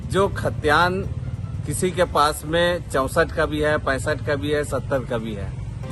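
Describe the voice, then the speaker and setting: A man making an unscripted political statement in halting, repetitive phrases. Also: fairly high pitch. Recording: music over outdoor clip.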